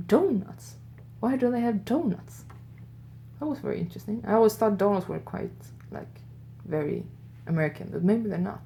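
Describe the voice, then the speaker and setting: A woman's voice: mid-range voice, expressive, normal speed, gravelly, warm tone, slight background noise. A woman with a Swedish accent hosting an improvised, radio-style audio show. She chats on her own, musing aloud and turning to her listeners with curious questions. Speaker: host of an audio advent show.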